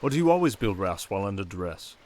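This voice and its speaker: A man with a British accent playing a sardonic, softly dangerous male siren in an ASMR roleplay, with dry, theatrical sarcasm. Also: on the low side, sing-song, fast talker, noisy background. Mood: wry, resigned.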